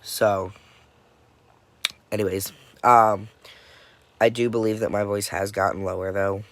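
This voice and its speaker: Slow, halting young man with a cold. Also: fairly low pitch, flat delivery, no echo. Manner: halting, many pauses and fillers. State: congested, coming down with a cold; dead tired. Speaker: vlogger. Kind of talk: casual personal update.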